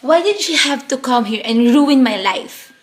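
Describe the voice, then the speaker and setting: A woman's voice: high-pitched, animated, unhurried. An actress playing an emotional, easily wounded woman in a radio play. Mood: furious, wounded. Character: bitter, hurt young woman, later gentle middle-aged daughter.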